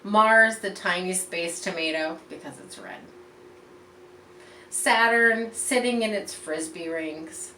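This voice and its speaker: A woman reading a picture book aloud to children in an expressive, teacherly way, pausing to explain and point things out. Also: lowish voice. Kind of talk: read-aloud storytelling with explanatory asides. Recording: roomy echo, distant mic, faint background noise.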